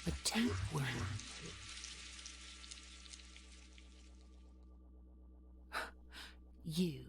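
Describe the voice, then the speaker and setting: A woman's voice: low voice, expressive, takes it slowly, heavy background noise. A woman playing an ancient nightmare posing as a business executive in an audio horror drama, mock-sweet and menacing, with folksy, condescending pet names. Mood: taunting. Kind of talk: audio horror roleplay acting.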